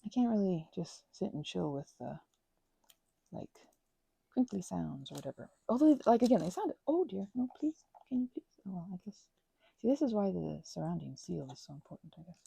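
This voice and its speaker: A young woman chatting in a rambling, casual way while she works on a craft project, sometimes dropping into soft whispers. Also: on the low side, creaky, husky. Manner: chatty, rambling, drifts between soft whispers and louder asides. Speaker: ASMR creator.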